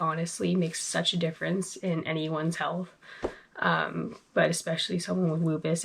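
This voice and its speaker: A young woman talking casually to her channel, sniffly from a cold, chatty and relaxed with plenty of fillers. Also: deep voice, vocal fry, slight background noise. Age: young adult. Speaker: vlogger. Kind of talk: casual talk to camera.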